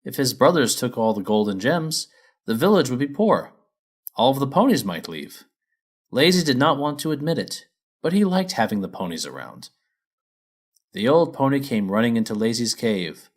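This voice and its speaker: A man's voice: medium pitch, sing-song, moderate pace. A man reading a children's story as its narrator, in a scripted storybook style.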